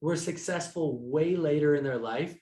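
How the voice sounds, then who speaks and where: A man's voice: on the high side, moderate pace, mellow. A man in his thirties talking casually and emphatically as a podcast guest, with informal, youthful phrasing. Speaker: podcast guest, entrepreneur and author. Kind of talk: casual interview conversation. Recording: roomy, echoing sound.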